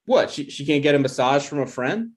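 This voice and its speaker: A man's voice: fairly high pitch, lively intonation, brisk, natural voice. A man playing an insecure, jealous boyfriend and grad student in a comedy table read, speaking in a hesitant, stammering way. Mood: uneasy, defensive. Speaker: actor in a screenplay table read.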